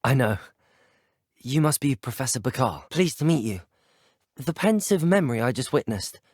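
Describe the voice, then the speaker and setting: A young man acting as an earnest, determined student wizard in a video game, speaking in an articulate, formal and measured way. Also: mid-range voice, lively intonation, no room sound, studio quality, lightly acted. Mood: earnest, concerned. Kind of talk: scripted game dialogue.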